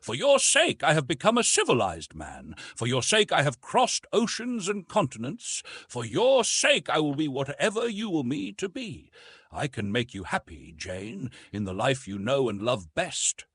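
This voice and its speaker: Guy doing a serious intense young wild-man hero for an audiobook, formal, bit husky, lively pitch. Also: unhurried, no echo, full character voice. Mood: earnest pleading. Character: earnest, intense young male jungle-raised hero of few airs. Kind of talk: audiobook character reading.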